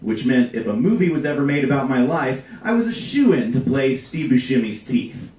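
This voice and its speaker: A man reading a comedic story aloud to a live audience with a deadpan, deliberate delivery. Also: medium pitch. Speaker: reader at a live storytelling show. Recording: roomy live venue, distant mic.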